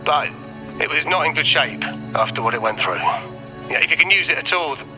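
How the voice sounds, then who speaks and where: A man playing a cagey, casual informant on a radio call in a game, halting and evasive. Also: on the high side, expressive, bright, forceful tone, exaggerated voice. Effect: radio call filter. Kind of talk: dramatic game dialogue. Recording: band-limited radio call, music underneath.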